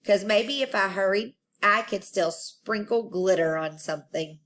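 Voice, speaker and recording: A woman storyteller reading a children's book aloud in an expressive style. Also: mid-range voice, measured pace.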